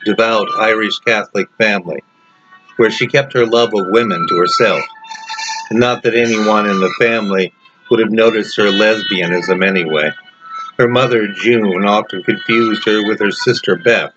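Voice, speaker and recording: A man reading a story as its author, in dramatic audiobook narration with deliberate phrasing and pauses. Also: on the low side. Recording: dull, lo-fi narration track. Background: music.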